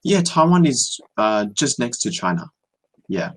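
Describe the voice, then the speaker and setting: A young Australian man on a video call, tutoring in a relaxed, hesitant way with many ums and restarts. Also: medium pitch, a little slow, slightly rough. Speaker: online English tutor. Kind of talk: casual video-call conversation.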